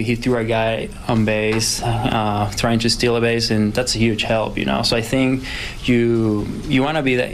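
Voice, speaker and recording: Flat-voiced Venezuelan man, postgame interview. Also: medium pitch, slight background noise. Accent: Venezuelan Spanish. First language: Spanish. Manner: measured, repeats words, says "you know". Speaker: pitcher interviewed after game.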